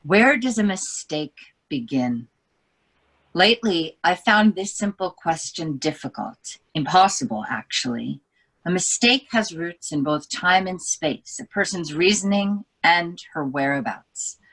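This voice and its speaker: A woman's voice: fairly low pitch, expressive, unhurried, a bit bright, full character voice, rough recording. A woman reading as a brooding young wife. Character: troubled young wife, reflective. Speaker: book editor. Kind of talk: literary reading.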